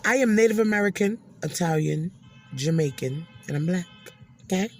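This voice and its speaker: A woman's voice: on the low side, animated, moderate pace, slightly rough, faint music behind. A woman hosting opinionated commentary, speaking in an emphatic, scolding manner and repeating phrases for stress. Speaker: host of a commentary and reaction channel. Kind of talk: opinionated social commentary, addressing an absent person.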